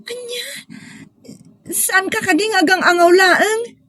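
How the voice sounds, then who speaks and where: A woman's voice: extremely high pitch, slow pace, sharp tone, exaggerated voice. A woman playing a grieving young daughter in a sentimental audio drama, speaking plaintively and hesitantly. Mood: surprised. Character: grieving young daughter pining for her lost sweetheart.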